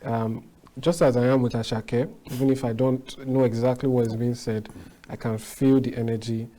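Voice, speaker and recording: Flat-toned, mellow male interview host. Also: medium pitch. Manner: polite and measured. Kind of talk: closing an interview.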